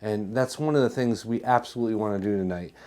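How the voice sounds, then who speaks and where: A man's voice: lowish voice, fast, mellow. An American man presenting an educational health talk as a chiropractor, emphatic, asking rhetorical questions and repeating his points. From New Jersey, United States.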